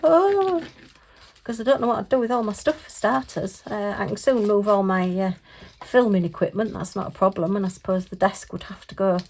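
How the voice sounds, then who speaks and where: A middle-aged woman from England, slightly hoarse from losing her voice, chatting and rambling with fillers while sorting craft supplies at her desk. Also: mid-range voice, brisk, a little mellow. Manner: chatty, rambling, with frequent fillers and light laughs. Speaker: craft vlogger. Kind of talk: casual chat while crafting. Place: home craft room, at a desk. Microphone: overhead camera above the desk, hands only.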